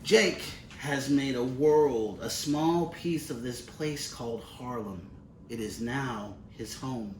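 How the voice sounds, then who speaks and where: A man reading a children's storybook aloud expressively, in measured, deliberate phrases with pauses. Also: mid-range voice, a little mellow. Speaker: picture book reader. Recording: roomy, distant mic with faint noise.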